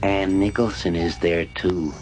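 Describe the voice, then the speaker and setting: Very low-voiced servile henchman. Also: steady tone, measured pace, a little mellow, low-quality recording. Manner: terse, deferential. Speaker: radio drama actor. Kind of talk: sci-fi radio drama acting.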